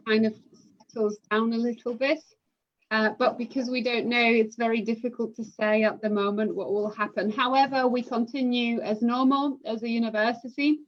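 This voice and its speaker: A woman from Poland, a university recruitment manager, speaking in a measured, hesitant way with many fillers during a live admissions session from home over a video call. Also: a little high. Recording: muffled lo-fi online call audio.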